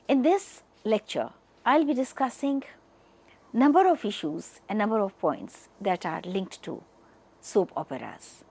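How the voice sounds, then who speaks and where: A woman with an Indian accent delivers a scripted educational lecture, speaking in deliberate, emphatic phrases with pauses. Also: mid-range voice, darkish tone.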